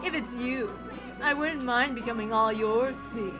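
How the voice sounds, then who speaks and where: Woman performing a high, gleeful, taunting young witch. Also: music in the background, close to an everyday voice. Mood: haughty, coy. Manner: theatrical, drawn-out words, cackling laughter.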